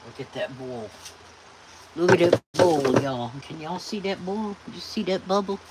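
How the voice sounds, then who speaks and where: A chatty woman shows her viewers around as she cooks at home. She talks into a phone camera propped on the kitchen counter, and a loud hiss of background noise runs under her voice. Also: on the low side, a little slow. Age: 60s. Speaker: cooking vlogger. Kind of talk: casual cooking demo.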